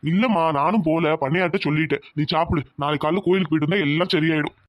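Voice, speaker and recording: A woman voicing a gentle village farmer, a husband and father who keeps reassuring his wife. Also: deep voice, racing, dry recording, clean studio sound, lightly acted. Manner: soothing, reassuring.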